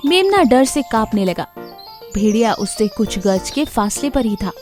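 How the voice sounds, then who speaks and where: A woman storyteller reading scripted children's moral tales in an expressive way. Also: on the high side, a little slow, soft background music.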